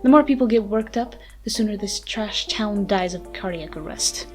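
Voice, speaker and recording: A woman playing a haughty, sardonic ancient vampire with a soft side, speaking in wordy mock-formal lines in a dramatic ASMR roleplay. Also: medium pitch, moderate pace, lightly acted. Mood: scornful. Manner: theatrical, wordy, dry mock-formal speech. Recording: music behind the voice. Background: music.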